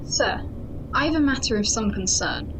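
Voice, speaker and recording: A woman acting as a vigilant, intense security chief in a sci-fi audio drama. Her pitch is fairly high and swings widely as she speaks formally and insistently, with noticeable noise behind her. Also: animated, normal speed, lightly acted. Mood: urgent, wary. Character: wary, intense female space station security chief.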